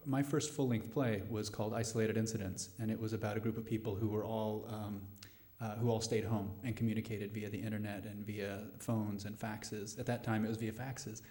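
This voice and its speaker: A middle-aged man speaking thoughtfully and haltingly as a playwright answering questions at a live post-show discussion. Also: fairly low pitch, flat delivery, dark tone. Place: live radio play venue before a studio audience.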